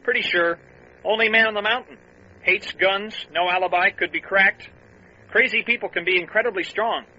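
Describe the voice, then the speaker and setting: An actor playing an earnest deputy reporting in a clipped, matter-of-fact way over a police radio, in old-time radio drama style. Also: male voice, high-pitched, normal speed, pushed and bright, exaggerated voice. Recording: lo-fi two-way radio sound.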